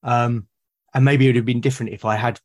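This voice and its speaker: A man's voice: mid-range voice, level tone, fast talker, a little mellow. A British man, a podcast guest, talking in a reflective, casual way and often restarting and repeating words mid-sentence. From Britain.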